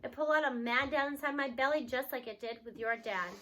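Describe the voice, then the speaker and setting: A woman's voice: high-pitched, fairly quick, bright, forceful tone, a little hiss or hum. A woman voicing a spry, folksy grandmother in a children's storybook, frank and affectionate in a colloquial way. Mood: rueful, confiding.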